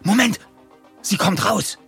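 An actor playing an earnest, eager young detective in radio play dialogue. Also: male voice, high voice, slow pace, gravelly, a bit bright, husky, faint music behind, full character voice. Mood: urgent, tense.

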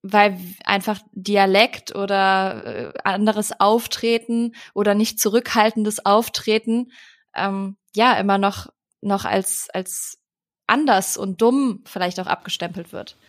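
A woman's voice: medium pitch, takes it slowly. A woman from the Rhineland hosting a podcast interview, talking hesitantly with frequent fillers. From Rhineland, Germany. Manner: hesitant, many fillers, even delivery. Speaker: podcast host.

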